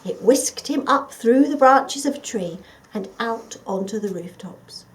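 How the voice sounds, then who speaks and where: A woman reading a children's story expressively and dramatically, often dropping to a hush. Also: on the high side, expressive, measured pace, rough recording. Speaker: volunteer storyteller.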